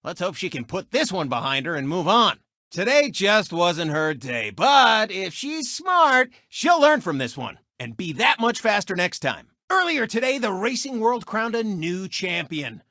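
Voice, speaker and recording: A smug, gloating race car with his own sports show in a cartoon video game. His voice is sharp and forceful, leaping up and down with showboat flair. It is a dry, close recording, and every phrase comes out cocky and mocking. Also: high voice, sing-song, unhurried, no echo, full character voice. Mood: showy commentary. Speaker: racing show host. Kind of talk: animated video game character commentary and taunts.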